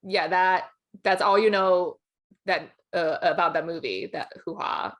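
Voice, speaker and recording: A woman's voice: fairly low pitch, measured pace, a bit bright. A woman co-hosting a casual entertainment discussion, talking informally with lots of conversational "like". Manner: informal, peppers speech with "like". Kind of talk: casual film awards chat.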